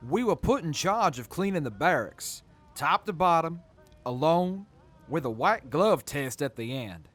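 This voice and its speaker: A man's voice: a little high, big pitch swings, a little slow, a bit bright, faint music behind, exaggerated voice. A man playing an easygoing, good-humored soldier in a post-apocalyptic audio drama. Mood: amused reminiscing. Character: easygoing male wasteland soldier, good-humored crew member. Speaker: voice actor.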